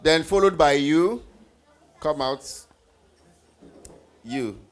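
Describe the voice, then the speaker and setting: A man's voice: a little high, animated, extremely slow. A man hosting an interactive children's Bible teaching session on stage, encouraging and instructive, often prompting with questions and repeating answers. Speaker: host leading children's Bible trivia. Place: event stage before a group of children.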